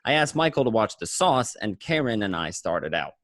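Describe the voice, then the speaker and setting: A man's voice: on the low side, sing-song, normal speed, a bit bright, no room sound, clean studio sound. A man playing a paranoid, streetwise gangster narrating his day in a radio play, talking in a coarse, conversational way. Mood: matter-of-fact recounting. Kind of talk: first-person crime narration in a radio play.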